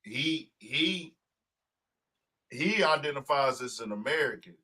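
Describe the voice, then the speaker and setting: A man hosting a podcast in casual chat, talking in a profane, emphatic, animated way. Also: mid-range voice, slow. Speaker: podcast host.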